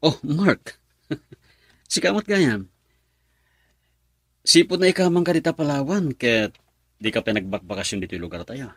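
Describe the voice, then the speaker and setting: A man's voice: medium pitch, animated, moderate pace, pauses often, fairly bright tone, breathy edge, no echo, studio quality. An actor playing a lovesick young man, a devoted son, in a melodramatic radio drama. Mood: surprised, friendly.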